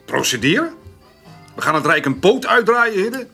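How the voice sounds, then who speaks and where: A man playing a stubborn, homely farmer in a naturalistic radio drama, speaking haltingly and repeating his words. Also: high voice, sing-song, creaky, bright, forceful tone, husky, soft background music, heavily acted. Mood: hesitant, confiding. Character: stubborn, plain-spoken farmer, hesitant and homely.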